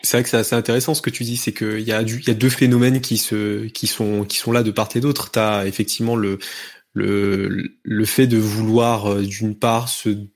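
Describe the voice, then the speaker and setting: A man's voice: on the low side, little pitch movement, normal speed. A man leading a casual discussion, asking questions hesitantly with frequent restarts. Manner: hesitant, many restarts and fillers. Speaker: discussion host.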